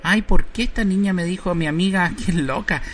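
A man's voice: high-pitched, a little fast, a little hiss or hum, pitched above the performer's own voice, close to an everyday voice. A man voicing a chatty, nosy woman caller who keeps pressing with questions on a prank call. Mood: pestering.

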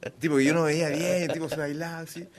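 A Chilean man, co-host of a comedy talk show, telling self-mocking stories with a deadpan touch. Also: fairly high pitch, fast talker. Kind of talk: comic banter.

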